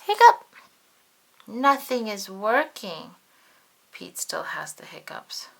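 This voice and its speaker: A woman reading a children's picture book aloud in an expressive, animated way with dramatic emphasis. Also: medium pitch, slow pace, vocal fry. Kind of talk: expressive storybook read-aloud for young children.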